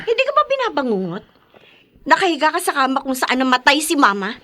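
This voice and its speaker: A woman's voice: high-pitched, very animated, a little fast, exaggerated voice. A woman playing a bitter, accusing young woman. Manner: sharp, accusing. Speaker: Danny. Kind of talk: radio-style drama acting.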